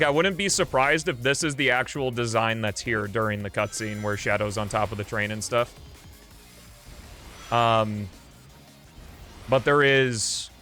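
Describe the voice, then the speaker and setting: A man's voice: medium pitch, fairly bright tone. Casual male game streamer over music. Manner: rambling, thinking aloud, offhand. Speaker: video game streamer and host. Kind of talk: casual live gameplay commentary. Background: video game music.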